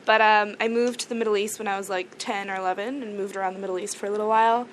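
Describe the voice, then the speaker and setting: A woman's voice: medium pitch, fairly even intonation, rapid-fire, slight background noise, low-quality recording. A young woman from South Africa, a native Afrikaans speaker, chatting easily as a musician guest, often giggling and joking dryly. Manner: giggly, easygoing, dry jokes. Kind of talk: casual interview chat.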